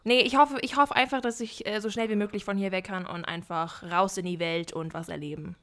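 A woman's voice: mid-range voice, fairly even intonation, fairly quick. A young woman of eighteen from Germany, chatting casually as a podcast co-host, with lots of fillers and giggles. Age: young adult, 18. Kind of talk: casual chat.

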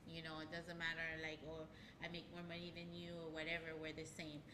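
A woman's voice: sits low, monotone, a little fast. A woman from Haiti speaking earnestly about spiritual topics in a casual radio chat, laughing easily. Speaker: hypnotherapist guest. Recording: background noise.

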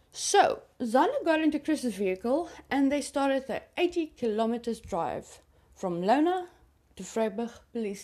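A woman's voice: a little high, mellow. A South African woman whose first language is Afrikaans, telling a true crime story in a chatty, confiding way to her listeners. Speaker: podcast host.

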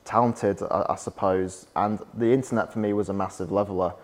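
A young man with a softened Yorkshire accent and a lowish voice talks as a podcast guest. His speech is chatty and anecdotal, full of "like" fillers. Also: steady tone, fairly quick. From West Yorkshire, England. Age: young adult. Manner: chatty, storytelling, frequent "like" fillers. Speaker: podcast guest, startup founder. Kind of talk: casual interview chat.

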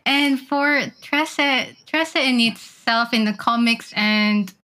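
A woman's voice: fairly high pitch, takes it slowly, sharp tone. A woman hosting a casual podcast interview, asking questions and laughing often. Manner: friendly, giggly, asks questions. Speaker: podcast host.

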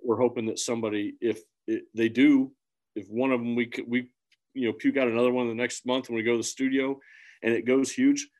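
A man's voice: mid-range voice, level tone, a little fast, a little mellow, poor audio. A man from central Illinois, a musician guest talking in an earnest, rambling way with many restarts. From central Illinois, USA. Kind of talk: casual interview chat.

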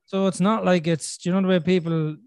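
A man, from Ireland, hosting a podcast and talking in a casual, earnest way, thinking out loud as he goes. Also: high voice, fairly even intonation, a little fast, slight background noise. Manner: earnest, thinking aloud with restarts and "you know". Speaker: podcast host. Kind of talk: casual conversational podcast chat.

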